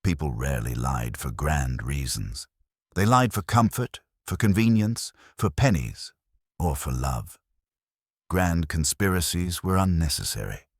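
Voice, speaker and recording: A man with an extremely deep voice narrates a mystery tale in measured, literary fashion. His pitch moves in lively swings, and the sound is close and dry. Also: slightly rough, dry recording. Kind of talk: scripted mystery story narration.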